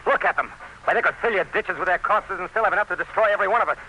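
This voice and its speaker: A man's voice: sits high, rapid-fire, pushed and bright, slight background noise, low-quality recording. A man acting as an anxious, fascinated colonial commissioner in a radio drama, with grave, suspenseful and literary delivery. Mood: alarmed. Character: anxious, fascinated commissioner recounting a jungle ordeal. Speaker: actor and narrator in a radio drama. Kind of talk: dramatic first-person radio narration and dialogue. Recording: radio drama with music behind.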